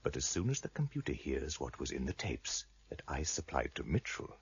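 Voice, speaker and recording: An actor playing a shrewd, courtly blind trader in a radio drama, husky and gravelly, speaking in a measured, formal, wry way. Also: male voice, very deep voice, very animated, highs cut off, exaggerated voice. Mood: grave, knowing.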